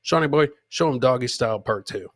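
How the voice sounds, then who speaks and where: A man's voice: medium pitch, animated, brisk, fairly bright tone, no echo, studio quality. A middle-aged man hosting a comedy podcast, chatting casually and swearing, repeating phrases for emphasis and playing to his audience like a showman. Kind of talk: casual live-stream comedy chat.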